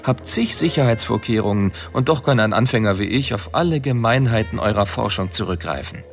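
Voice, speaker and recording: An actor playing a rebellious, sardonic earthling with a mission in a science fiction radio drama, speaking in a smug, theatrical manner. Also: male voice, lowish voice, lively intonation, brisk, soft background music. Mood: smug, wry.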